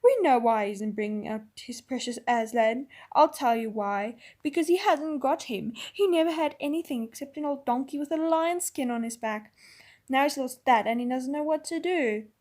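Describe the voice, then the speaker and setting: A woman voicing a defiant male dwarf who shouts and sneers at the ape. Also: high voice, moderate pace, lightly acted. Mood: mocking.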